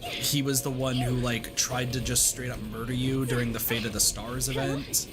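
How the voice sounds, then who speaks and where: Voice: medium pitch, level tone, normal speed. A game streamer chatting casually on a livestream in a blunt, sweary, rambling way, saying "like" a lot. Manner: rambling, repetitive, heavy use of "like". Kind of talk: casual livestream chat over gameplay. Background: video game music.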